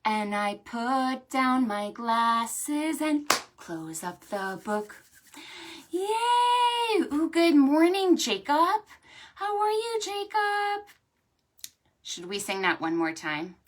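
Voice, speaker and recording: A woman hosting a storytime for preschoolers, talking to small children in an animated, sing-song way. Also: high-pitched, takes it slowly. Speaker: children's librarian and storytime host. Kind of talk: preschool storytime with stretches and songs.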